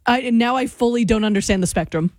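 A woman's voice: a little high, quick pace, a little mellow, dry recording, studio quality. Easygoing woman radio co-host. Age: 30s. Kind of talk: casual radio chat. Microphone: studio microphone.

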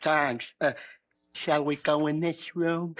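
A man playing a dopey, slow-witted barfly in a 1950s radio comedy. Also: fairly high pitch, little pitch movement, very noisy. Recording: dull old radio recording.

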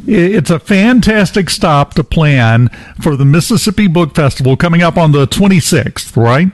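A man's voice: fairly high pitch, lively intonation, moderate pace. A man hosting a casual radio interview, emphatic and joking as he stresses his points. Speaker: radio host.

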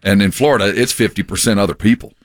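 A man from Texas co-hosting a radio talk show, chatting casually and telling stories in an animated way. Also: on the low side, quick pace, no echo, studio quality. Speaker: radio host.

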